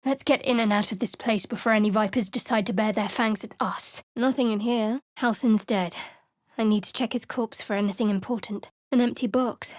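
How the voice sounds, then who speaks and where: A woman voicing a guarded, sardonic young female cleric of a dark goddess in a fantasy video game, with a dry, secretive, teasing manner. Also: on the high side, normal speed, no room sound, close to an everyday voice. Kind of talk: video game companion voice lines.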